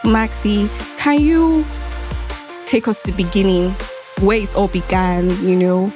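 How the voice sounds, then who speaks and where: Female Nigerian podcast host, mid-pitched, unhurried and formal, soft music bed. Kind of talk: podcast introduction and interviewing. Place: podcast studio.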